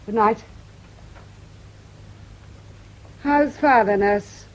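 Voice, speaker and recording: A woman's voice: a little high, unhurried, a little mellow, lightly acted, rough recording. An actress playing a brittle, mock-sweet upper-class Englishwoman with an English accent in a radio drama. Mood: quietly controlled. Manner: arch, mock-sweet, polite. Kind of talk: British radio drama acting.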